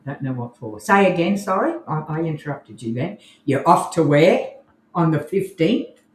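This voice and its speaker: A woman's voice: deep voice, lively intonation, moderate pace. An Australian woman co-hosting a casual live podcast, chatting in a rambling way with lots of restarts. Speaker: podcast co-host. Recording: roomy echo.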